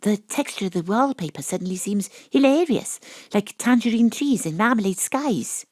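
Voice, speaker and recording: A woman with a Welsh accent playing a brash, wry, tipsy private detective in an audio drama, deadpan on a close microphone. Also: mid-range voice, moderate pace, no room sound, close to an everyday voice. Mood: wry, dreamy. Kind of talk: audio drama acting with first-person narration. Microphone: close home studio microphone.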